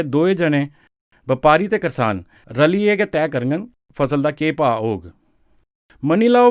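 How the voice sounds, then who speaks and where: A man's voice: a little high, natural voice. A man with a Dogri accent explains in a conversational, instructive way for an educational film, often checking that he is understood. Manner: didactic, often seeks confirmation with short check questions. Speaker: expert explaining a farm law to farmers.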